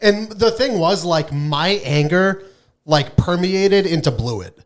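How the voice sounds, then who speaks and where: A man's voice: high-pitched, animated, takes it slowly. A man co-hosting a podcast in casual, irreverent chat, brash and emphatic, swearing freely. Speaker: podcast co-host.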